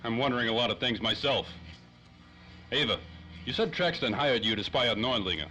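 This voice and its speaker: A man plays a hard-boiled radio-play detective with a bright, forceful voice. He talks fast and clipped, a wary wisecracker who wants straight answers. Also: medium pitch, expressive, soft background music. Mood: probing. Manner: clipped, wisecracking tough-guy talk. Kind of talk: 1930s old-time radio drama acting.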